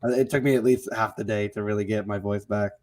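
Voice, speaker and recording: A man co-hosting a sports podcast over a video call, telling stories in a relaxed, casual way. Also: lowish voice, a little fast, slight background noise. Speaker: podcast co-host.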